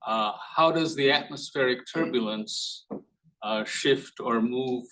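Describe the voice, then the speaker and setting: An audience member asking a question at a conference, speaking tentatively with fillers, away from the microphones. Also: male voice, mid-range voice, slow, fairly bright tone, recorded from a distance, poor audio.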